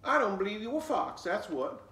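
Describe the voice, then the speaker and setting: A man's voice: high voice, big pitch swings, normal speed, a bit bright, voice pushed up. A man voicing a spirited, skeptical little country girl, plain-spoken and unfazed, for a children's storybook. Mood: doubtful, defiant. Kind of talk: children's storybook read-aloud. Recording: roomy echo, distant mic.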